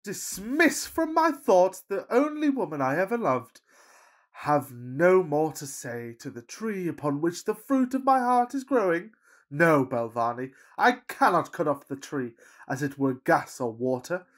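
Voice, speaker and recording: Man playing a pompous lovestruck Victorian gentleman. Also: a little high, sing-song, a little slow. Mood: impassioned. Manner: florid, grandiose, earnest declaiming. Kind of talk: farcical period radio play acting.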